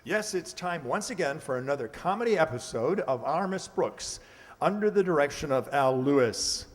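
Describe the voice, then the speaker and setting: A man's voice: on the high side, animated, moderate pace, noisy background. A man announcing an old-time radio comedy from a script in a formal, presentational way. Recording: very reverberant hall sound.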